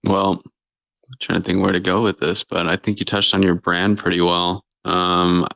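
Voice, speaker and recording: Deep-voiced laid-back podcast host. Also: male voice, little pitch movement, moderate pace, vocal fry, fairly bright tone, no room sound. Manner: loose, rambling questions. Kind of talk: casual interview chat.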